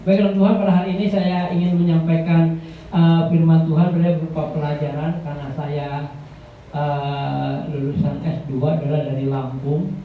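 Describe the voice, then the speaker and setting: A man's voice: little pitch movement, normal speed, distant mic, phone-quality sound, a little hiss or hum. Man preaching in an echoey church hall. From Indonesia. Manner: rambling, addresses the congregation as "saudara"; grows louder and higher when preaching. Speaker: guest preacher. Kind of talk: Pentecostal sermon.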